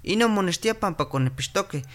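An actor playing a calm clinic doctor in a radio drama, explaining and reassuring. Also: medium pitch, a little fast, natural voice. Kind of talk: radio drama narration and acting. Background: music.

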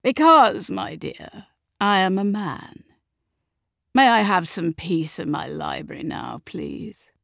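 A woman's voice: medium pitch, expressive, slow, gravelly, a bit bright, no echo, studio quality, full character voice. A woman voicing a coldly bored, cutting aristocratic father in an audiobook, with a haughty, impatient drawl. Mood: impatient, condescending. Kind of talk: audiobook character acting.

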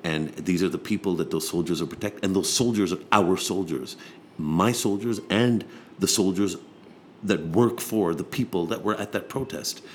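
A man's voice: sits low, normal speed. A man in a casual, impassioned political discussion, sardonic at times and repeating words when he presses a point. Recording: faint background noise.

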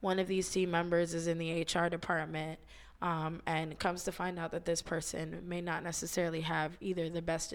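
A thirty-year-old woman with a hoarse voice, co-hosting a podcast in relaxed, slangy chat with frequent ums. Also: fairly low pitch. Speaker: podcast co-host. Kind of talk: casual chat.

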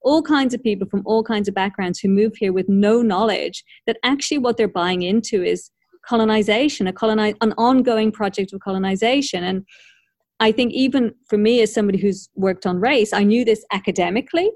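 A woman who grew up in Ireland, hosting an academic conversation in a thoughtful, measured way with frequent hedges. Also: medium pitch, darkish tone, no room sound, clean studio sound. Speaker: podcast host.

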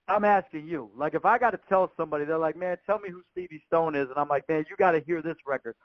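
A man's voice: on the high side, fast talker, no echo. A man hosting a casual radio interview, putting questions to his guest over a muffled call line. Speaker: radio show host, interviewer. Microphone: phone or call line. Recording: muffled, dull conference-call audio.

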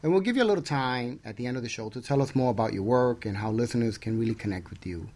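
A man's voice: medium pitch, expressive, fast, a little mellow. A man co-hosting a radio talk show in a conversational style.

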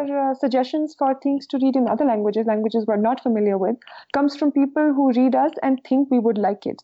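A woman from Kashmir, answering interview questions in an articulate, reflective way with frequent fillers. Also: a little high. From Kashmir, India. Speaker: newsletter co-founder, guest. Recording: dull lo-fi sound.

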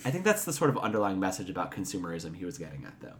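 A man's voice: low voice, sing-song, very fast. A man co-hosting a podcast, moving between casual banter and news items. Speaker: podcast co-host.

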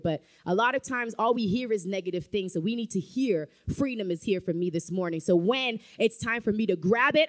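Fervent woman preacher, lowish voice. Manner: emphatic, rising to fervent calls of amen. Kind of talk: sermon. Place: church sanctuary.

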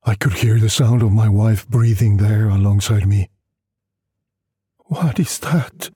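A man narrating a literary story in a measured, solemn way, with a husky, breathy voice. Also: on the low side, flat delivery, warm tone, no room sound, studio quality. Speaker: audiobook narrator.

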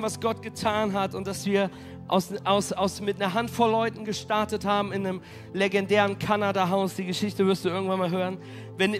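A man preaching as pastor, energetic and rallying his congregation, with a cold that makes him hoarse. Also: sits high. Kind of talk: sermon. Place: church hall.

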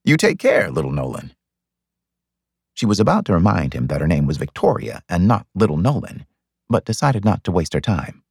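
A man narrates a novel expressively. His voice is fairly low and gravelly, with very wide swings of pitch, recorded dry. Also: sing-song, brisk, a little mellow, no room sound. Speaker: audiobook narrator. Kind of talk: expressive scripted fiction narration.

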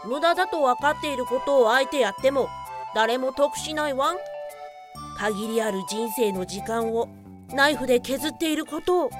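A woman's voice: high-pitched, normal speed, over music, close to an everyday voice. A woman voicing a cute talking dog mascot in a comedic radio drama, preachy, with a doggy verbal tic at sentence ends.

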